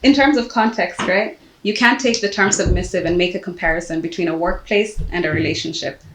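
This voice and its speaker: A woman's voice: on the low side, brisk, reverberant, noisy background. A woman from Somalia, a podcast guest who argues her side in a heated debate and keeps checking she is understood. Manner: argumentative, keeps asking "do you know what I mean".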